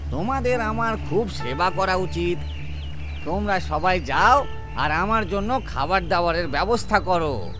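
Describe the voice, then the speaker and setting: A man's voice: sits high, expressive, unhurried. A man voicing a sly, cowardly jackal in a children's cartoon, with a dramatic manner that turns from wailing to pompous. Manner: dramatic, wailing when scared, pompous when scheming. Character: cunning, cowardly male jackal posing as God's envoy. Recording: music behind the voice.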